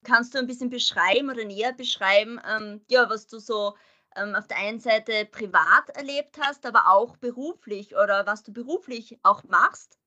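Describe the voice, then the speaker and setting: A woman's voice: fairly high pitch, moderate pace, pushed and bright. A young woman hosting a podcast who asks her guest chatty questions. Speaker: podcast host. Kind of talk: casual podcast interview.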